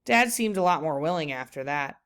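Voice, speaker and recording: A woman reading a children's book aloud like a teacher, in an expressive, deliberate storytelling manner. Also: low voice, clean tone.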